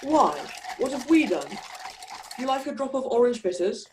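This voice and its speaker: A woman's voice: a little high, very animated, normal speed, background noise. A young woman playing an affable, easily flustered society husband in a stage play, baffled and exclamatory. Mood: casual. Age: young adult.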